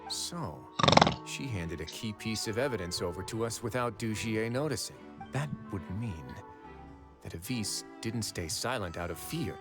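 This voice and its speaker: An actor playing a shrewd, composed duke in a video game, speaking with measured authority. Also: male voice, fairly low pitch, expressive, lightly acted. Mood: deductive, confident. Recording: game music behind the voice.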